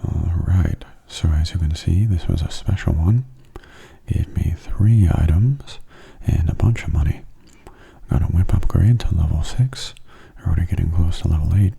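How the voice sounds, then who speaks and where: Very soft, dark-voiced man, low ASMR murmur. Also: moderate pace, creaky, husky. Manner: hushed, slipping into whispers. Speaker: video game streamer. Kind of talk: soft-spoken ASMR gameplay commentary.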